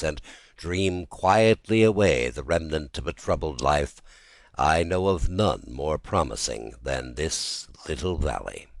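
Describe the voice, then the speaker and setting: Very deep-voiced man narrating a classic tale. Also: no echo. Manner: measured, literary storytelling delivery.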